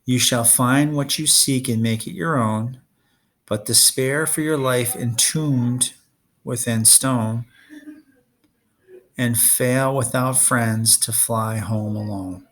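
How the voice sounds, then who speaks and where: A man voicing a storybook character who solemnly recites an ominous prophecy in a slow, measured chant. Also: mid-range voice, fairly bright tone, rough recording.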